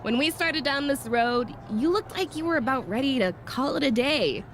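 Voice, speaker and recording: A woman playing a cocky, excitable young wrestler in a video game cutscene, with playful emphasis. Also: high-pitched, moderate pace, a little hiss or hum. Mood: playful, excited.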